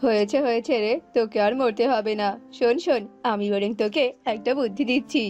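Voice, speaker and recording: A woman's voice: on the high side, normal speed, darkish tone, lo-fi sound, close to an everyday voice. A woman voicing a bossy male crow farm owner in a cartoon, brusque and condescending. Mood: advising. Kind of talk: cartoon voice acting. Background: music.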